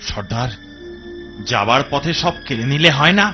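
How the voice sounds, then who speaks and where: A man playing an eager, bloodthirsty bandit henchman in a cartoon, boastful and excitable. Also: medium pitch, moderate pace, music in the background, lightly acted. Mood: eager, scheming. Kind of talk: cartoon voice acting in Bengali.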